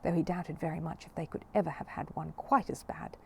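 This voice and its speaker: A woman reading a children's story aloud in an expressive narrating style. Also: low voice, a little fast, gravelly, slight background noise. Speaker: storybook reader.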